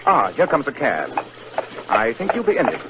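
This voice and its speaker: A man playing a cool, lofty detective in a 1940s radio drama, speaking in a crisp, teasing manner on a muffled old recording. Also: mid-range voice, wildly swooping pitch, normal speed, noisy background. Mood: commanding. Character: cool, brilliant, sardonic male detective.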